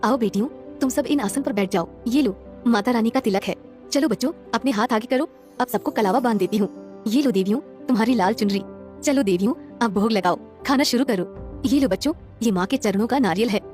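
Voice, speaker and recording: A woman voicing a humble, devout, patient poor young woman in a cartoon moral story, speaking gently and earnestly. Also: a little high, brisk, faint music behind, lightly acted. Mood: kindly.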